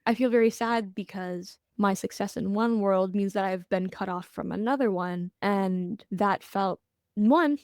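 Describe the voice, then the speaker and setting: A young woman, a college counselor, talking in a relaxed interview, thoughtful and earnest with lively bursts. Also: medium pitch, no room sound, clean studio sound. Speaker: admissions counselor, guest. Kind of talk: casual interview.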